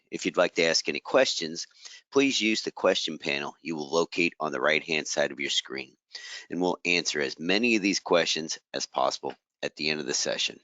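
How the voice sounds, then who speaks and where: A man moderating a webinar, reading the housekeeping notes and a speaker introduction smoothly from a script. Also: sits low, bright, forceful tone, no room sound. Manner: reads smoothly from a prepared script. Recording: dry, slightly dull webinar audio.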